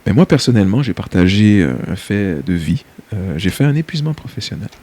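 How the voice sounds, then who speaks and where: A man's voice: on the low side, big pitch swings, brisk, mellow, slight background noise. A man from Quebec with a Québécois accent, speaking as an interview guest, hesitant with many fillers and easy laughs. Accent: Québécois French. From Quebec, Canada. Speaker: guest, nonprofit CEO. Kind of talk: casual interview chat.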